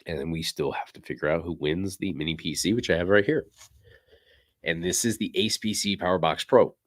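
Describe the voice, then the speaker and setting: A man with a very low, deep voice hosts a laid-back livestream, his voice a little raspy and hoarse as he starts to lose it. He rambles easily with plenty of ums and you-knows, close to the mic in a dry, dead room. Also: a little fast, no echo. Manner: relaxed, rambling, many fillers. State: slightly hoarse, losing voice. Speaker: livestream host. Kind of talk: casual livestream chat.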